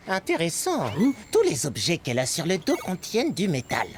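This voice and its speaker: A woman's voice: on the low side, huge pitch swings, normal speed, heavy background noise. A woman voicing an earnest, pedantic little microbe inventor in a French cartoon dub, explaining things precisely like a lecturer. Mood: intrigued, explaining.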